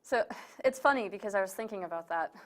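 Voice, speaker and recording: A woman's voice: mid-range voice, quick pace. A young woman from New York City, born in Romania, answering casually as an interview guest. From born in Romania, raised in New York City. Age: young adult. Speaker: interview guest, community organizer.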